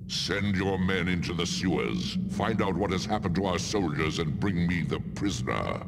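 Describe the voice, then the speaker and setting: A male actor playing a cold, menacing dark lord in a video game, imperious and threatening, with a gravelly voice. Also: lively intonation, normal speed. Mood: stern command. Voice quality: gravelly, very deep. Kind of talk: video game cutscene acting. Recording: long hall reverb, noisy game capture.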